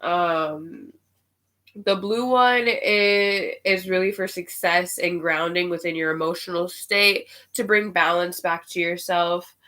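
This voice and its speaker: A woman with a cold and a nasal voice, unhurried and full of "um" fillers, giving spiritual guidance and leading a meditation on a live stream. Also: on the low side, fairly even intonation, bright, forceful tone. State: sick with a cold.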